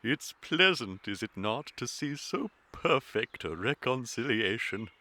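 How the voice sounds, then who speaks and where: Ponderous Victorian gentleman, drawn-out delivery, medium pitch, genial, pompous, period radio comedy. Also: expressive. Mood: benevolent. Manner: pompous, measured.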